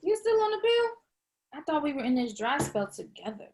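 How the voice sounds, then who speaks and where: A woman's voice: sits high, brisk. A woman reading the part of a playful, slangy young woman in a comedy script table read. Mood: teasing. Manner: slangy, animated reactions. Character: Issa, playful broke young woman, teasing and casual.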